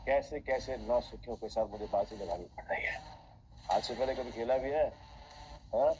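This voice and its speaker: A man's voice: mid-range voice, normal speed, darkish tone, slight background noise, close to an everyday voice, rough recording. A man voicing a scheming, cruel gambler villain in an audio drama, taunting and dropping into menacing whispers. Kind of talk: Hindi audio drama acting. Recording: background music bed.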